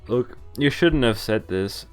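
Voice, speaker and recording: A man's voice: mid-range voice, lively intonation, measured pace, clear, resonant tone, soft background music. Man reading a visual novel aloud. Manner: reads text aloud, breaking off with offhand asides; loud, exaggerated yelps when voicing pained lines. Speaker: streamer.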